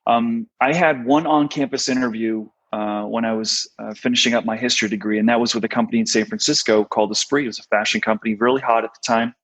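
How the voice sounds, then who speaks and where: A middle-aged American man, a podcast guest, telling his career story in a relaxed way with many fillers. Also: medium pitch, quick pace, few pauses, rough recording. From United States. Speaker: guest, talent strategist. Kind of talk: podcast interview.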